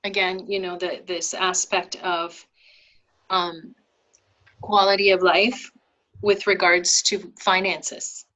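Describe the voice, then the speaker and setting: A woman's voice: lowish voice, little pitch movement, slow pace, sharp tone, low-quality recording. A woman facilitating a group discussion, encouraging and affirming each person who shares. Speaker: meeting facilitator.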